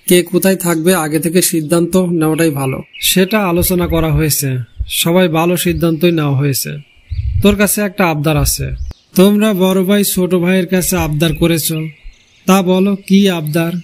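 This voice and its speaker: A man's voice: on the high side, darkish tone, close to an everyday voice. A man voicing an agreeable village brother in a moral-story cartoon, reasonable and conciliatory. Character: agreeable village brother settling family matters.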